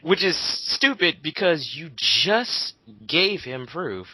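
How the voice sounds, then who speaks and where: A man's voice: fairly high pitch, very animated, takes it slowly, pushed and bright, breathy edge, no room sound, rough recording. A man giving casual ranting commentary, profane, sarcastic and combative. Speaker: co-host commenting.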